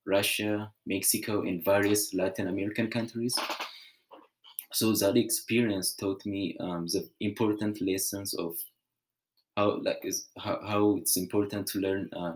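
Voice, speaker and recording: A young man from Ethiopia, giving an earnest, measured prepared presentation with small restarts. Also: on the low side, rough recording.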